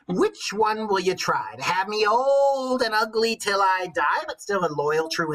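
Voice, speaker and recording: A sly old woman acted out by a man scolds and bargains with her husband in storybook verse. The voice is pitched well up and a little husky, with theatrical, drawn-out emphasis. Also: a bit bright, full character voice, poor audio. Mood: challenging. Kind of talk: storybook verse read aloud in character.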